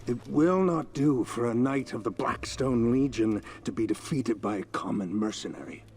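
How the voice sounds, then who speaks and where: An actor playing a stern, proud knight commander in a fantasy video game, with a commanding, formal manner. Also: male voice, medium pitch, animated, normal speed, darkish tone, natural voice. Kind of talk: scripted game dialogue, ceremonial at times.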